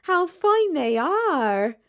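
A woman voicing a peaceable, practical female duck in a storybook, chatty and homely, with emphatic, drawn-out delivery. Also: very high voice, sing-song, a bit bright, voice pushed up, heavily acted. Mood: placid, practical. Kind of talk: storybook character reading.

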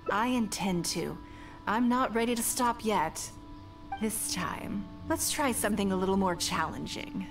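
A woman's voice: mid-range voice, measured pace, lightly acted. A woman voicing a poised, shrewd, wealthy noblewoman in a video game, with composed and formal delivery. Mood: composed, pleased. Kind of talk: video game voice acting, dialogue and battle lines. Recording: music behind the voice. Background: game music.

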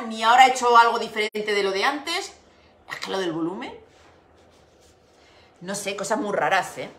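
A woman's voice: on the high side, bright, forceful tone. Middle-aged woman, chatty craft livestream host, laughs often, greets viewers, roomy echo, device mic at the desk. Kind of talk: casual livestream chat. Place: home room with window. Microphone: device camera on desk. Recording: echoey room.

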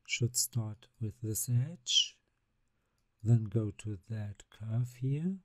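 A man, narrating a screen-recorded software tutorial step by step, calmly describing each click. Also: on the low side, no room sound. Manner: unhurried, step-by-step narration of mouse actions. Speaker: CAD software instructor.

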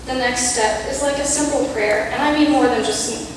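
A young woman giving an earnest, measured reflection from a church pulpit, with light self-deprecating asides. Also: sits high, noisy background. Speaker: youth speaker. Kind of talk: prepared reflection read in church. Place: large church sanctuary, at a pulpit. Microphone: pulpit mic, camera far off. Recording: echoey church hall from far off.

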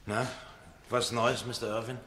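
A man's voice: mid-range voice, little pitch movement, moderate pace, fairly bright tone, a little hiss or hum, natural voice. An actor playing an evasive, naive, disreputable accused man in a radio drama, talking hesitantly and colloquially. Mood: sheepish, defensive. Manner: hesitant, colloquial, tag questions.

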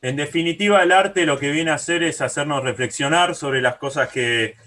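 A man's voice: on the high side, moderate pace, sharp tone, slight background noise. An Argentine man hosting a radio interview in a conversational style.

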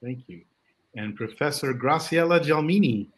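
A man's voice: on the high side, lively intonation, normal speed. Upbeat man hosting a video call. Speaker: department chair hosting a welcome panel. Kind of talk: casual welcoming talk. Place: home room on a video call.

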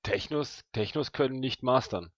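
A man's voice: mid-range voice, normal speed, dry recording, dull audio. A man with a mid-range voice is recorded very dry, with little room sound. He chats casually as a podcast host and player in a role-playing game, leaning into crude, jokey banter.

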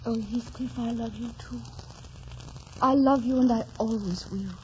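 Actress as willful young gentlewoman, passionate, high voice, old radio drama, hissy dull recording. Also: steady tone, a little slow, lightly acted. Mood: loving. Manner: theatrical, ardent.

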